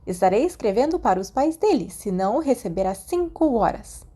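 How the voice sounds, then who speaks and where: A woman's voice: a little high, sing-song, fairly quick, warm tone, husky, voice pushed up. A woman voicing a strict, brusque female school librarian in a storybook, with a Brazilian accent and a curt, officious manner. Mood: stern.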